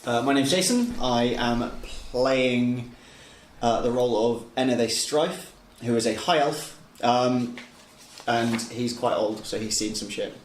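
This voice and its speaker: A man's voice: mid-range voice, takes it slowly. A man playing a tabletop game, chatting casually in short, understated remarks. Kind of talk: casual chat. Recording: echoey room with faint noise.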